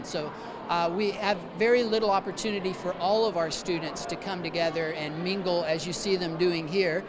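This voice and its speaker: An ESL teacher and event organizer speaking in a thoughtful, measured way about their students. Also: mid-range voice, noisy background. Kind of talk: interview.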